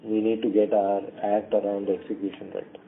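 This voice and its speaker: Indian guy, company exec on a conference call, lowish voice, lots of pauses and ums, tinny phone sound. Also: level tone, creaky, a little hiss or hum. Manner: halting, measured, hedging, frequent long hesitations. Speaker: company executive answering analysts. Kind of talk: earnings call question and answer.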